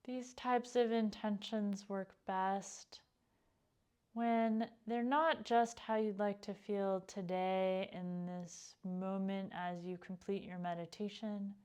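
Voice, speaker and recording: A woman leading a guided meditation as a teacher, speaking in a calm, gentle, unhurried way with pauses. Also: medium pitch, clear, resonant tone. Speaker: yoga nidra meditation teacher.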